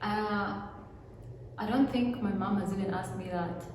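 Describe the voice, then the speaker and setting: A woman's voice: medium pitch, measured pace, a little mellow. Young Rwandan woman, very echoey room. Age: young adult. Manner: emphatic, repeats phrases. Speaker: video host. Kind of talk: casual chat. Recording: very echoey room, distant mic, faint noise.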